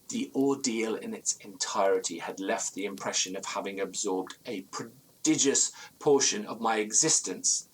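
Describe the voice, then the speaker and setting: An actor playing an anxious old-fashioned gentleman, recounting a ghostly ordeal in formal, florid, theatrical language for a Gothic audio drama. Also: on the low side, a little hiss or hum, low-quality recording.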